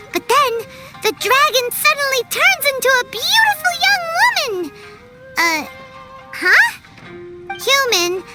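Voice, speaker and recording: A woman voicing a small, excitable female fairy companion who refers to herself by name, in a video game. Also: very high-pitched, exaggerated voice. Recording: game audio with loud music.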